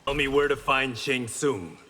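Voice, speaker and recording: A man's voice: medium pitch, unhurried, sharp tone. An actor playing a resolute, wry blind swordsman in a fighting game, speaking with dry confidence and determination. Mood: wry, confident. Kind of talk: fighting game character banter. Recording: long reverb, faint background noise.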